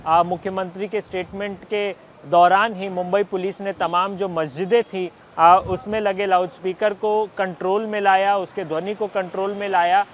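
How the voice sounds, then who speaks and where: A man's voice: high-pitched, level tone, normal speed. A man reporting and interviewing on location for TV news, in hesitant, filler-heavy phrasing. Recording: outdoor street noise, traffic.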